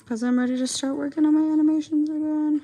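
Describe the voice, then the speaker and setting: A young woman with a gravelly, raspy voice, her throat dry and strained. She chats casually and rambles with plenty of fillers while working on a drawing, and faint music plays behind her. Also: high-pitched, fairly even intonation, fast talker, warm tone. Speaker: digital artist streamer.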